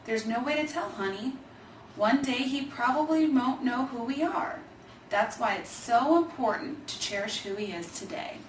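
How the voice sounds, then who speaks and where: A calm, loving storybook mother, read by a woman talks in a tender, reassuring way. Her voice is fairly high and sits back from the mic. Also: normal speed, close to an everyday voice. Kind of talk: children's storybook reading. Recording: roomy, distant mic, faint noise.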